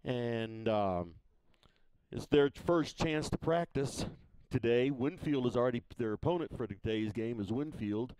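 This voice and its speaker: A man calling a high school baseball game from the press box, his voice smooth and resonant. He talks in a folksy, easygoing way, circling back and restarting his sentences. The sound is dry and close. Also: expressive, darkish tone, no echo, poor audio. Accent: Missouri. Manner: folksy, unhurried, many fillers and restarts. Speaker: play-by-play broadcaster. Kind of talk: casual local sports broadcast.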